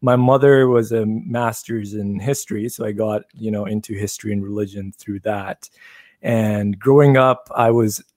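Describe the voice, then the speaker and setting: A man from Pakistan, a guest telling his life story in a casual interview, measured and thoughtful with many fillers. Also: lowish voice, steady tone, a little mellow, no echo.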